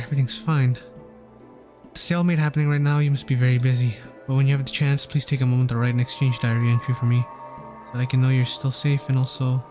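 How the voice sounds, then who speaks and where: A male game streamer reads story text aloud in an earnest way. His pitch is average for a man, and he sometimes stumbles over a word. Faint game music plays behind him. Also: darkish tone. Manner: earnest, stumbles over words while reading.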